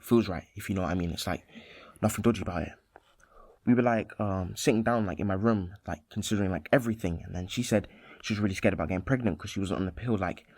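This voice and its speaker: A cautious teenage boy played by a man from a radio play, recorded close and dry. His voice sits on the low side, and he rambles in hesitant, everyday slang as if chatting candidly. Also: dry recording. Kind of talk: naturalistic radio play monologue.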